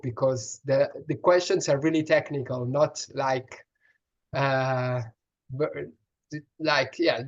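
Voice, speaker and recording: A man's voice: medium pitch, lo-fi sound. A man with a strong Italian accent, a pediatrician answering questions in an online session, explaining earnestly with many restarts and fillers. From Italy.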